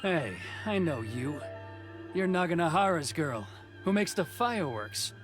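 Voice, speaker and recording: A stern, dismissive game officer. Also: male voice, fairly high pitch, sing-song, measured pace, music in the background. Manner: curt, dismissive. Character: stern male commission officer, proud and cold. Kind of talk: video game dialogue.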